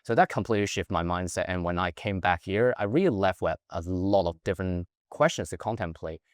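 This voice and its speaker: Low-voiced man, earnest. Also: brisk, dry recording, studio quality. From Hong Kong. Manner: earnest, keeps adding "right?" tags to check in with the listener. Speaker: guest, life coach. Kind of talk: reflective personal storytelling.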